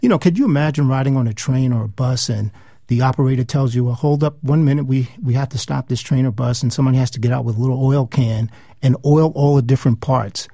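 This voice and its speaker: A man's voice: medium pitch, fast talker, vocal fry, warm tone, dry recording, studio quality. A man speaking as an author and interview guest, explaining things conversationally.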